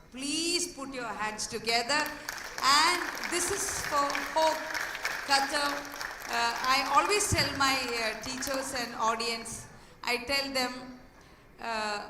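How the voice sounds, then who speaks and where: A woman giving an earnest, hoarse speech as a school head at an event, pausing with fillers and restarts. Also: fairly high pitch. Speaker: guest speaker, school head. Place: large hall. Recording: echoey hall, distant mic, faint noise.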